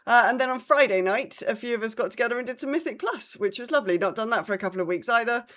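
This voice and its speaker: A woman's voice: a little high, animated, rapid-fire, a bit bright. A woman hosting a podcast, chatting casually about her week of gaming and acting out reactions as she retells things. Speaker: podcast host.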